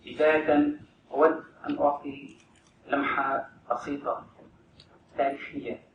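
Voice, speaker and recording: A man's voice: a little high, level tone, rapid-fire, vocal fry, muffled recording. A man from the Palestinian territories with an Arabic accent, giving a formal witness statement to a tribunal. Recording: echoey courtroom, from a distance, with background hum.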